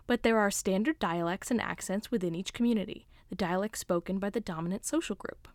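A woman's voice: mid-range voice, fairly quick, no echo, studio quality. A woman narrator reading a scripted explanatory piece in a documentary style.

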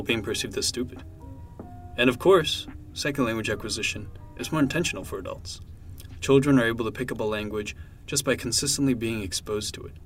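A man reading a scripted explainer about language learning as a co-host. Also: medium pitch.

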